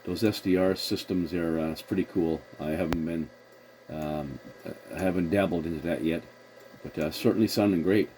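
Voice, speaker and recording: Male CB operator, low mellow voice, casual radio chat with formal call procedure, some hiss underneath. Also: normal speed. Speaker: CB radio operator and video host.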